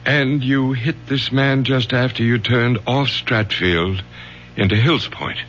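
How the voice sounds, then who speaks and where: A man's voice: medium pitch, fairly even intonation, slow, fairly bright tone. An actor playing a protective middle-aged father, a college professor, with an American accent and a firm, urgent manner in radio drama style. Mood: urgent, interrogating. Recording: dull lo-fi old radio broadcast, faint hum.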